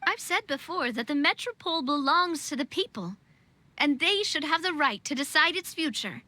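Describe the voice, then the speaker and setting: A woman's voice: sits high, lively intonation, normal speed, sharp tone, dry recording. A woman voicing a young, confident, playful queen in a video game, with spirited but poised delivery. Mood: composed. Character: young female monarch, playful, confident, reassuring.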